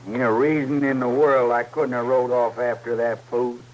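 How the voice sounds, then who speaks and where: An actor playing a slow-witted, eager-to-please outlaw sidekick with a plaintive rural Western American drawl in a radio drama. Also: male voice, mid-range voice, little pitch movement, a little mellow, lightly acted. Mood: unsure. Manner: plaintive, simple, ungrammatical drawl. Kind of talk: old-time radio Western acting. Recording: noisy vintage radio recording with clicks.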